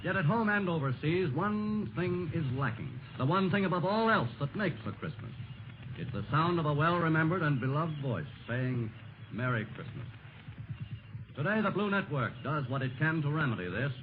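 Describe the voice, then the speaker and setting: Solemn 1940s radio narrator man, animated pitch. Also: normal speed. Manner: solemn, rhetorical delivery. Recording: scratchy, muffled 1940s radio broadcast, loud noise.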